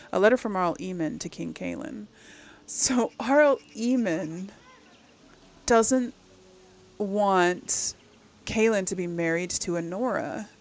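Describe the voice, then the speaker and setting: The woman you would hear on a role-playing game channel, thinking aloud and reading to viewers in a creaky voice. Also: lowish voice, darkish tone. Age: 30s or older. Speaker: game streamer, role-play series host.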